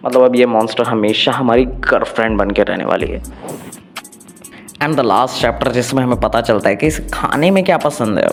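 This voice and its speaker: An Indian man tells a story recap in a casual, colloquial way, mixing in English phrases as he goes. His voice sits at an ordinary male pitch. Music plays underneath the voice-over. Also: normal speed. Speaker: manga recap narrator. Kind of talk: casual first-person story recap with jokes.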